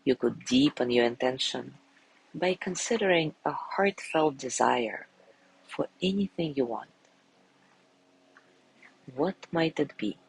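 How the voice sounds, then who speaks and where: A man guiding a sleep meditation in a gentle, soothing way, softly spoken and unhurried, with long pauses between phrases. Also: medium pitch, lively intonation, gravelly, slight background noise, rough recording. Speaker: meditation guide.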